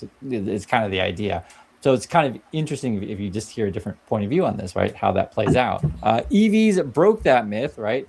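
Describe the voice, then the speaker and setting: A man's voice: fairly low pitch, creaky, darkish tone, breathy edge. A man from Vietnam giving casual explainer commentary, talking conversationally and often tagging "right?" onto his points. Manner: conversational, keeps asking "right?". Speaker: commentator on a played video clip.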